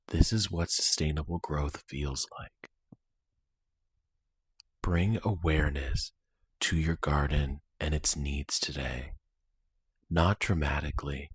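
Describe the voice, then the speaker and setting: A man guiding a scripted meditation in a slow, soothing, deliberate manner with long pauses. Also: rumbling low, husky, no room sound. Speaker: guided meditation host.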